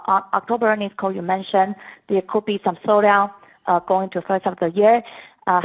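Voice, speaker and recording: Woman analyst on a narrow phone line. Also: mid-range voice. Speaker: financial analyst. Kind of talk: asking questions on an earnings call. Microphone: telephone.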